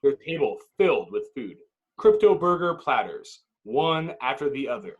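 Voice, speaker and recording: Husky man narrating a screenplay. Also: medium pitch, sing-song, normal speed, narrow, tinny audio. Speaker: narrator reading stage directions. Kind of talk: dramatic screenplay narration at a table read.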